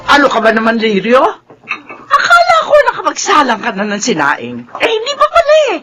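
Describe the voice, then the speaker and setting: A bossy Filipino mother in a radio drama. Her middle-aged voice swings widely up and down, nagging and melodramatic, with music clearly playing behind it. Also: fairly high pitch, wildly swooping pitch, fairly quick. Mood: nagging, impatient. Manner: scolding, melodramatic. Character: domineering, nagging twice-widowed mother who favors one daughter.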